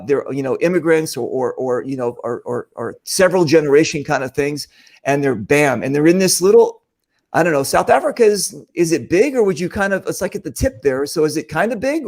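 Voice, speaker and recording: Guy hosting a chat show, kinda high voice, rambly, lots of restarts. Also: darkish tone. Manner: rambling, restarts sentences, curious. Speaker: podcast host. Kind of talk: casual chat, asking questions.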